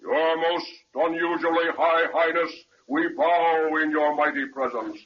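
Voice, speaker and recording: An actor playing a stern, officious captain of paper soldiers in a 1930s radio serial, barking orders. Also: male voice, on the high side, fairly even intonation, a little slow, natural voice. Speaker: captain of the guards. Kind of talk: 1930s radio drama acting. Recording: dull, lo-fi old radio recording with faint hiss.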